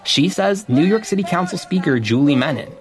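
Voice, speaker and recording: A man reporting from the field in a measured, scripted news delivery. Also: high voice, expressive. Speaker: radio reporter. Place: on location outdoors at a protest.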